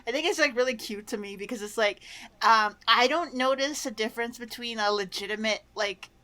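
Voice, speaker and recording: A woman's voice: fairly high pitch, fairly quick, sharp tone. A woman in her thirties with a Canadian accent, casually recapping a sitcom episode and laughing often. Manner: chatty, laughs often, many "like" fillers. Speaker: podcast host.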